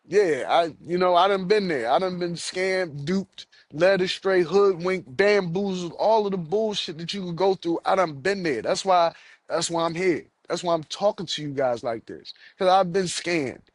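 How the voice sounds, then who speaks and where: A man's voice: sits high, no echo. A man hosting a solo podcast, ranting angrily and repeating himself as he swears. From United States. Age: adult, has children. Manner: angry, profane, repeats himself. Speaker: podcast host.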